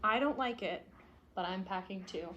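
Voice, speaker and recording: A woman's voice: medium pitch, normal speed, fairly bright tone, a little hiss or hum, voice pushed down, natural voice. A woman voicing a stubborn young storybook boy who refuses to move, speaking emphatically and defiantly. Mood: sulky, defiant. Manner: emphatic, defiant first-person storybook delivery.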